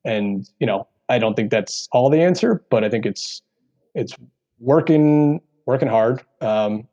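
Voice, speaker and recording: An American man with a deep voice giving earnest, explanatory interview answers as a podcast guest, with frequent asides. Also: animated, unhurried, warm tone, highs cut off. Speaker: podcast guest, real estate executive.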